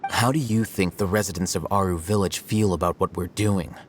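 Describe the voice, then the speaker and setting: A man voicing a calm, aloof young male scholar in a video game, measured and dry. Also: low voice, a little hiss or hum, close to an everyday voice. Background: music.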